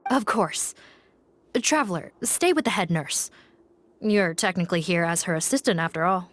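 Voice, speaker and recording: A woman voicing a composed female officer who gives steady, practical orders in a game. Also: lowish voice, moderate pace, no room sound.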